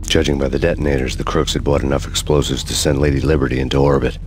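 An actor voicing a jaded, brooding hard-boiled cop who narrates in a deadpan, wry, terse noir style. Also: male voice, extremely low pitch, quick pace, music in the background.

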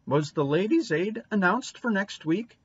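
Man as a high-pitched scolding older matron. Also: lively intonation, breathy edge, highs cut off, exaggerated voice. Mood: stern, quizzing. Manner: brisk interrogating, admonishing. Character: stern, prying older woman, a scolding matron. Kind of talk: storybook character reading.

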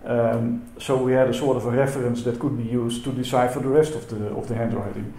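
A man's voice: mid-range voice, steady tone, mellow. Dutch-native man presenting in an echoey hall. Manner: halting, many repeated words and fillers. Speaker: symposium speaker. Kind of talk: conference presentation. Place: lecture hall. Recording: echoey hall with faint noise.

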